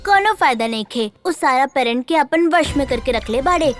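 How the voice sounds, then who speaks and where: A woman voicing a fearful, resigned little schoolboy in an animated cartoon, urgent and warning, with a Bhojpuri accent. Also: high-pitched, normal speed, faint music behind.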